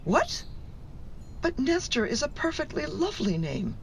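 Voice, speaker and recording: Radio drama: fussy, sharp-tongued wife and mum played by a woman, exasperated, very animated intonation, somewhat high register. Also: unhurried, a little hiss or hum, full character voice. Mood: scornful disbelief. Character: exasperated, fussy middle-aged wife and mother. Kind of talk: radio play acting.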